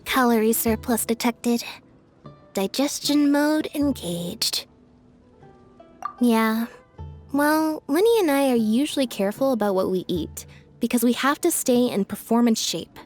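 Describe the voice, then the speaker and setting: A woman voicing a composed, deadpan young performer in an anime game. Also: a little high, unhurried. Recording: music behind the voice.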